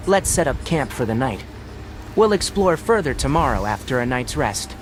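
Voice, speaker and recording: A man voicing a bright young forest ranger in an anime game, articulate and instructive, with a patient, wry manner. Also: medium pitch, sing-song, moderate pace, close to an everyday voice. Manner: articulate, instructive, gently teasing. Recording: noisy game livestream capture.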